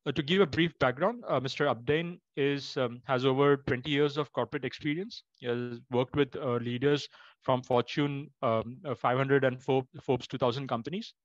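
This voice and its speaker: A man giving a formal introduction as a webinar host, speaking in a halting, measured way. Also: medium pitch, steady tone, no room sound, muffled recording. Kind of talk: formal introduction, unscripted remarks.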